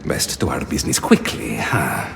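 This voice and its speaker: An actor playing a mysterious, courteous trickster guide in a video game, theatrical and formal with a gravelly edge. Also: male voice, extremely low pitch, big pitch swings, normal speed, music in the background, exaggerated voice. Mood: cautioning. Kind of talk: video game dramatic acting.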